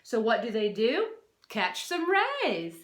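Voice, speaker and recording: A woman's voice: a little high, wildly swooping pitch, slow. A woman reading a non-fiction children's book aloud like a teacher, expressively, stressing key words and adding short explanatory asides. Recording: roomy, echoey sound.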